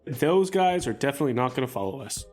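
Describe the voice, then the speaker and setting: A man's voice: medium pitch, lively intonation, quick pace, a little mellow. A man playing a tabletop roleplaying game, chatting and improvising casually in a tentative, musing way. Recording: background music under the voice.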